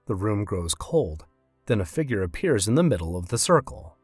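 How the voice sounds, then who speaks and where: Male fantasy narrator, husky and expressive, reading scripted scenes in a very dry recording. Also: fairly low pitch, normal speed, no room sound, soft background music.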